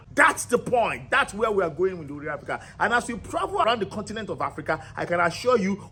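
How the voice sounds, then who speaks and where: A man's voice: sits high, pushed and bright, husky. A man, founder of a cultural channel, giving an impassioned monologue to camera in an emphatic, rousing way. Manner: emphatic and rousing, builds repetitive lists.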